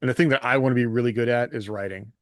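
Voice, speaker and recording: A man's voice: medium pitch, fairly even intonation, very fast, no room sound, studio quality. A middle-aged American man chatting casually as a podcast co-host, candid and self-deprecating. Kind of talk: casual chat.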